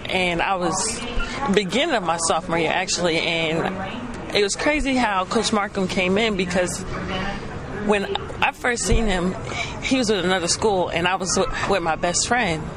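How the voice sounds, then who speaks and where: A young Texan woman answers questions in an easygoing drawl, keeping her replies short and starting many of them with a hesitant um. The crowd chatter behind her is clearly audible. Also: mid-range voice, moderate pace. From Texas. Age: young adult. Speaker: college basketball player guest. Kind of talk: casual radio interview answers.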